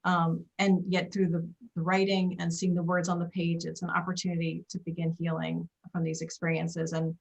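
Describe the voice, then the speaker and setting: A woman giving an informal thank-you speech on a video call in a measured, reflective way. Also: fairly low pitch, completely flat delivery, gravelly, poor audio. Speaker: board member giving a speech. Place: indoors, remote video call.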